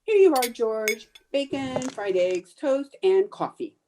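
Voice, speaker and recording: A woman's voice: fairly high pitch, expressive, takes it slowly, background noise. A woman playing a scatterbrained, stubborn, cheerful housewife in an old-time radio comedy, with theatrical, emphatic delivery. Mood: breezy, sheepish.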